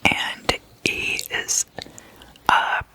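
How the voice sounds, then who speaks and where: An ASMR host whispering throughout in a hushed, unhurried, explanatory way.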